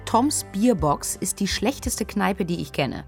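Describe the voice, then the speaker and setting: An actress playing a young student in a radio play, recalling a drunken night of grief in a candid, dry, conversational way. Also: female voice, mid-range voice, expressive, a little slow, creaky, soft background music. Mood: wry, candid. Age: young adult. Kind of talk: interview-like monologue in a radio play.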